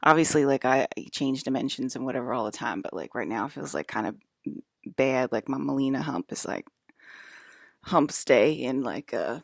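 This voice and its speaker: A woman's voice: very deep voice, lively intonation, normal speed, gravelly, no room sound, studio quality. A woman in her fifties talking straight to her viewers in a rambling, confrontational monologue, full of fillers and swearing. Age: 50s. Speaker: video blogger.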